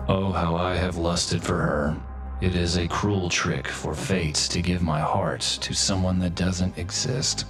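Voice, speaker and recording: Deep, monotone, gloomy office drone. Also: male voice. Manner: deadpan, stilted, formal, morose. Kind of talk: video game voice acting, melodramatic monologue.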